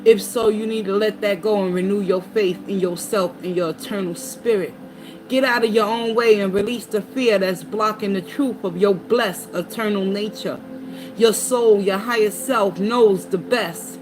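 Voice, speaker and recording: A woman's voice: medium pitch, normal speed. A woman giving a tarot reading, reading card meanings aloud and dropping in casual asides to her viewers. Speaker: tarot reader. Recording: noticeable background noise.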